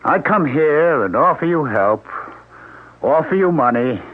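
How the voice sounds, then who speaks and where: A man's voice: fairly high pitch, lively intonation, a little slow. An actor playing a paranoid, bitter businessman in a 1970s radio drama, brooding and accusing. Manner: brooding and accusing, rising to defiant outbursts. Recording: narrow-band old radio broadcast.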